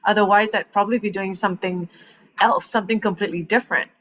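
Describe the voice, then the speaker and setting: A woman in her thirties, from Malaysia, talking through her story as a podcast guest. She is animated and laughs often between phrases. The recording sounds a little muffled. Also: mid-range voice, fast talker. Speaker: guest, transformational coach. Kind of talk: casual podcast conversation.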